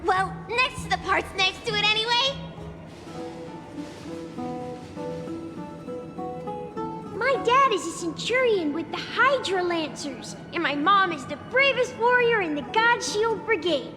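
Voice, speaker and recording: A woman's voice: extremely high pitch, lively intonation, moderate pace, hardly any pauses, big-room sound, music in the background. A woman voicing an eager, proud little child of a warrior people in a video game, earnest and boastful. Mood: excited pride.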